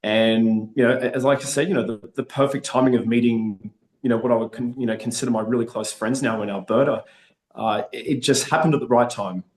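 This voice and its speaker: A man's voice: medium pitch, fairly even intonation, fairly quick, a little mellow, reverberant, rough recording. A man from Australia with an Australian accent, chatting upbeat and optimistic as a guest in an interview. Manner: upbeat, chatty, frequent restarts. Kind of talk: casual interview.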